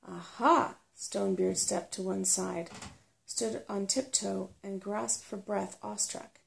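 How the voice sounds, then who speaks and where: A woman reads a children's fantasy novel aloud for ASMR. Her delivery is soft and unhurried. The voice is dark and relaxed, with a creaky edge. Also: mid-range voice. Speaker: storybook reader.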